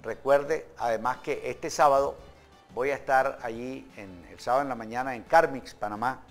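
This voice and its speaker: A man's voice: mid-range voice, normal speed, faint music behind. Joking older male TV host. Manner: jokey, bursts into laughter during ad reads. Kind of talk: news reading and joking ad reads. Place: TV studio. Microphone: studio mic.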